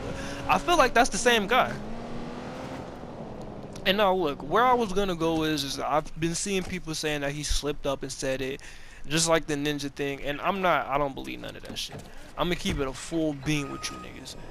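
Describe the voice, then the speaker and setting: A man's voice: a little high, gravelly, noisy background. A man of about twenty talking casually and profanely over gameplay, rambling with many restarts and slang. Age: 20s. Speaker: gaming video creator.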